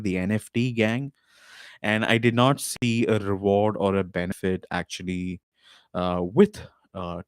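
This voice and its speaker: A man with a fairly low voice hosts a live podcast stream from a small home setup. He talks in a loose, rambling way, full of "uh"s and restarts. The desk mic sound is dry and close. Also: smooth, no echo. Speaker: podcast host. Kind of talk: casual live-stream talk. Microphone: desk mic with podcast recorder.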